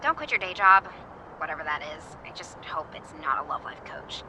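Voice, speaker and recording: A woman's voice: low-pitched, very animated, a little fast, background noise. A woman playing an awkward, flustered young radio advice host in a video game, rambling, correcting herself and dryly sarcastic. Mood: dry, exasperated. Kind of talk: naturalistic video game voice acting.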